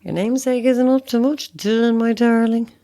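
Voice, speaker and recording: A woman's voice: on the high side, fairly even intonation, moderate pace, noisy background, lightly acted. A woman playing a polite, drily sceptical actress who asks wary questions, in a naturalistic audio drama. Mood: politely evasive.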